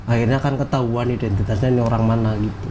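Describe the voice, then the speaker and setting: A man's voice: medium pitch, steady tone, quick pace, noisy background. A man from Cilacap, a guest in a casual interview, giving plain brief answers and retelling events step by step.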